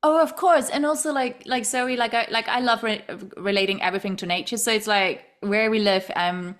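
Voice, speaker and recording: A woman from Denmark hosting a podcast, talking in a rambling, animated way and often repeating words as she restarts. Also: fairly high pitch, fairly quick. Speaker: podcast host. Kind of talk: casual interview chat.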